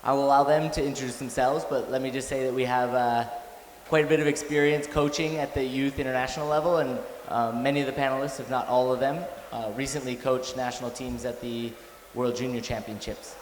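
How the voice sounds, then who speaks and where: A man moderating a conference panel, introducing guests in a measured, formal way with some fillers. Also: mid-range voice, steady tone. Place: conference hall. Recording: echoey hall with faint noise.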